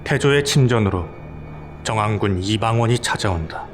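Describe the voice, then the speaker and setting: A man giving calm scripted narration for a historical audio drama. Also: lowish voice, moderate pace, faint music behind.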